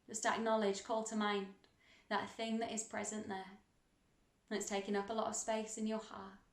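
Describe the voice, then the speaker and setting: A woman leading a guided meditation in a gentle, soothing, unhurried manner, leaving long pauses between instructions. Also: medium pitch. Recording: roomy, echoing sound.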